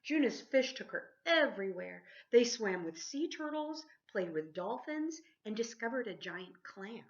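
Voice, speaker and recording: Female storybook reader, teacherly and animated, engages listeners with questions; lively pitch, slightly muffled lo-fi recording. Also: measured pace. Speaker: teacher reading a picture book aloud. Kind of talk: expressive children's storybook read-aloud. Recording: dull lo-fi sound.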